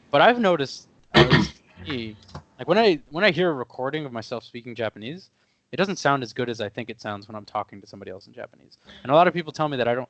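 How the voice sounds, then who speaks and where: A young man co-hosting a podcast, casually explaining things step by step with lots of fillers and pauses. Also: mid-range voice, a bit bright, a little hiss or hum. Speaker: podcast co-host. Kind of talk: casual conversation.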